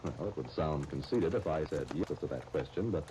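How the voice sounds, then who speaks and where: An actor playing a blunt, grudging young shop partner in a 1930s radio soap opera, wry and grumbling, with hesitant starts. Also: male voice, a bass voice, lively intonation, a little fast. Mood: irritated, disdainful. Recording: muffled, hissy 1939 radio broadcast recording.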